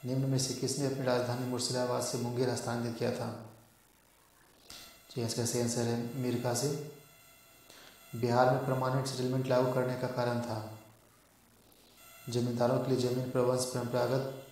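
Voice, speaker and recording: A man tutoring for an exam, reading quiz questions and answers aloud in a halting way. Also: medium pitch, monotone, a little mellow. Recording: very echoey room.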